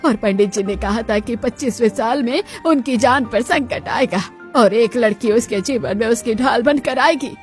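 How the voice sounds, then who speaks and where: Woman doing a bossy old rich grandma, solemn n deliberate, story drama, music behind. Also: on the high side, natural voice. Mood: solemn, certain. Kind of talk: dramatized moral story acting.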